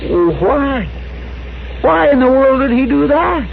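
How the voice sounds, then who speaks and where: Plaintive, high-voiced captive frontier deputy. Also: male voice, animated, unhurried, vocal fry, exaggerated voice. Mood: bewildered, pleading. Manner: folksy, plaintive, bewildered. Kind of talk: 1960s radio western acting. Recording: tinny old radio recording, faint noise.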